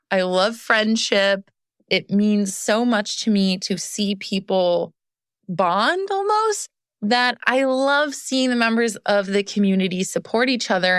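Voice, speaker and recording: A woman's voice: mid-range voice, a bit bright, dry recording, clean studio sound. A woman hosting a podcast as a recovery coach, talking in an earnest, encouraging way. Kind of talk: scripted podcast monologue.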